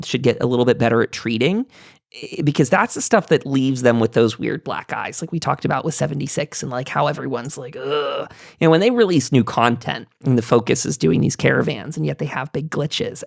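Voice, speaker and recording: A man's voice: a little high, vocal fry, dry recording, clean studio sound. A man hosting a casual roundtable, reading notes aloud and commenting in a chatty, digressive way. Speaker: podcast host.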